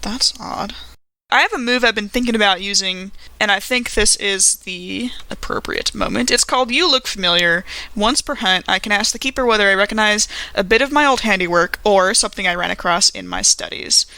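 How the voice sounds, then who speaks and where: A woman's voice: mid-range voice, brisk, bright, forceful tone, no echo. A woman chatting casually as a player in a tabletop roleplaying game.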